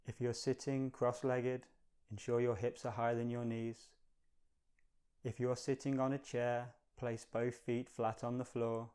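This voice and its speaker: A man leading a guided meditation in a calm, gentle, measured manner, giving slow, soothing instructions. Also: medium pitch, smooth.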